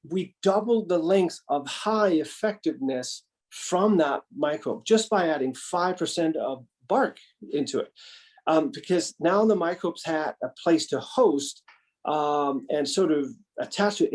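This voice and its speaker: A man's voice: on the high side, darkish tone. A man on a conference panel who explains findings hesitantly, with lots of fillers, over a video call.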